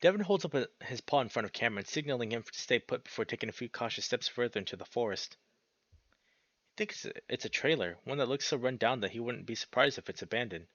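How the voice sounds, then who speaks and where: A man reading a visual novel aloud as expressive narration, breaking off into casual, sweary, slangy reactions. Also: medium pitch, fast, no echo, lo-fi sound. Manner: animated, sweary, slangy interjections.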